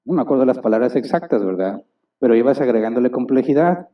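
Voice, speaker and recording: A man's voice: medium pitch, expressive, fast talker. A man preaching a sermon as a pastor, asking the congregation questions and acting out little exchanges. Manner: asks the congregation rhetorical questions; acts out little dialogues. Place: church hall. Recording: distant, dull church recording.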